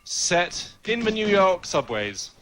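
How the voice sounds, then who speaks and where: A man giving live play-by-play commentary on a video game race, reacting excitedly. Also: sits high, slow pace, noisy background. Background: music.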